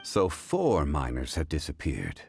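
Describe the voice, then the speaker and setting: A man voicing a composed, erudite gentleman in a video game, speaking in a measured, formal and precise manner. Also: a bass voice, huge pitch swings, creaky, faint music behind, full character voice. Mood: probing. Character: composed, erudite gentleman scholar, calm and analytical.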